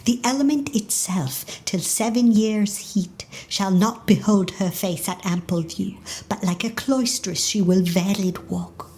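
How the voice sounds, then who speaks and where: An actress playing a formal, dutiful household butler in a classical audio drama, with deliberate verse delivery. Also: female voice, mid-range voice, mellow, close to an everyday voice. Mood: dutiful, grave.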